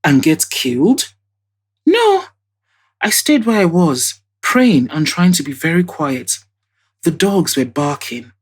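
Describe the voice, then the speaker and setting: A woman's voice: low voice, big pitch swings, takes it slowly, slightly rough, full character voice. A woman voicing a chatty, scornful female witness in an audio drama, telling things emphatically with indignant asides. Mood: earnest, recalling.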